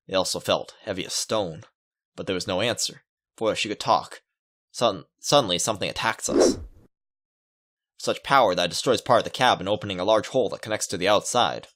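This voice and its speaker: A man's voice: deep voice, fairly bright tone. A man reading a visual novel aloud as a let's player, adding playful joking asides. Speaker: video game let's player. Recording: music under the voice. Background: game music.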